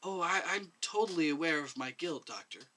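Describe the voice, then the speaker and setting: A man voicing a nervous, apologetic middle-aged woman medic, halting and defensive, in a short story reading. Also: on the high side, expressive, bright, forceful tone, pitched above the performer's own voice. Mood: meek, tearful.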